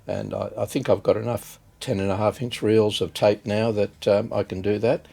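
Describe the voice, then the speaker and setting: A man giving a casual, unscripted hands-on demonstration as a hobbyist video host, hesitant and halting as he thinks aloud. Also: lowish voice, little pitch movement, vocal fry, a little mellow, slightly rough.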